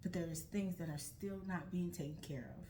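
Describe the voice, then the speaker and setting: Young woman, American, earnest vlog talk, reflective, lowish voice, faint rumble behind. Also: moderate pace, warm tone. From United States. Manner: earnest, reflective, with frequent restarts and "like". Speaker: vlogger starting a nonprofit. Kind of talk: earnest personal talk to camera.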